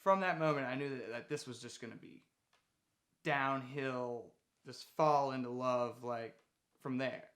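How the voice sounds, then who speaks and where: A man's voice: medium pitch, unhurried, a bit bright. A man who hunts fossils, talking casually in a documentary interview. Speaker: fossil hunter.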